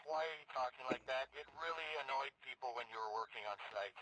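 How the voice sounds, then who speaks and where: An actor playing a weary, dismissive government agent heard over a call in a video game. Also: male voice, mid-range voice, quick pace, sharp tone. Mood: irritated. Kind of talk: video game cutscene acting. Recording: noisy call over a speaker.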